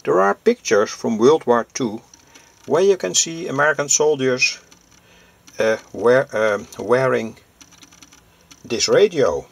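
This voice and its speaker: A man from Europe presents an informal hobbyist demonstration at a slow, deliberate pace. His medium-pitched voice has a lot of creak. He speaks hesitantly, stopping often in the middle of sentences. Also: animated. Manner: halting, hesitant, pausing mid-sentence. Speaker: presenter, vintage radio hobbyist.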